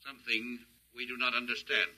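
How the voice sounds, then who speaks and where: Sharp, stiff alien captor. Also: male voice, medium pitch, a little fast, poor audio. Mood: puzzled. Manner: stiff, formal, literal, flatly matter-of-fact. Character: emotionless young alien of an ancient hive race, logical captor. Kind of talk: 1950s radio drama acting. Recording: 1950s radio drama recording.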